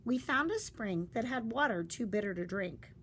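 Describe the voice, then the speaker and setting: Expressive woman reading a story aloud, animated pitch. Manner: deliberate, dramatic storytelling delivery. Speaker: storybook reader. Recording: faint background noise.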